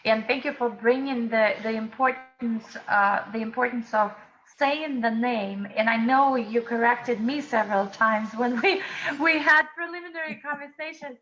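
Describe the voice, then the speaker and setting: A woman's voice: on the high side, moderate pace, fairly bright tone. A woman hosting a conversational interview in an effusive, complimentary manner, over muffled lo-fi audio with some noise. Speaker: interview host.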